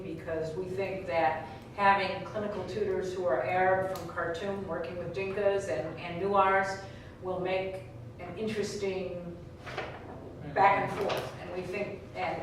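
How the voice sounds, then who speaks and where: A woman's voice: lowish voice, distant mic. A woman giving an informal briefing to students about plans and arrangements, talking hesitantly with many fillers and restarts. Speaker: program organizer. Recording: echoey room recorded from afar with noise.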